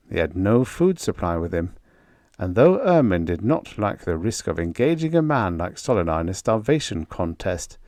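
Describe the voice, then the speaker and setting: A man reading a story aloud as an audiobook narrator, in a measured, expressive literary manner. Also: low-pitched, dry recording, studio quality.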